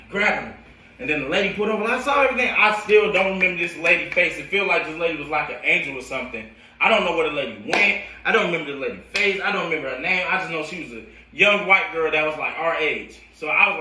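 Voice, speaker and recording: An American man who works as a coach, telling a personal story in animated, slangy casual speech and acting out the dialogue and sound effects. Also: on the high side, slight background noise. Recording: roomy echo, distant microphone.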